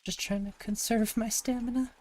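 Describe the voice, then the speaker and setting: A woman from Montana with a gravelly voice, chatting casually to her live-stream audience while she plays a game, thinking aloud and answering chat. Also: fairly high pitch, steady tone, normal speed, mellow. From Montana, USA. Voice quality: gravelly, slightly raspy.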